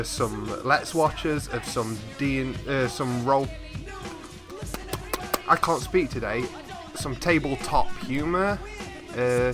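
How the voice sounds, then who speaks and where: A man hosting a reaction video, chatting casually to viewers in a rambling, easily amused way on a desktop microphone. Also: on the high side. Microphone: desktop USB microphone, slightly set back.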